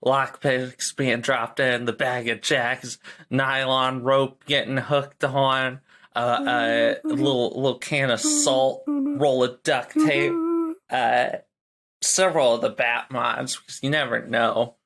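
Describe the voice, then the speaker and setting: A man with a bright, forward voice improvises scenes in a tabletop game. He talks slowly and haltingly, loose and joking. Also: medium pitch. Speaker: tabletop roleplay player. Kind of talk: casual improvised game narration.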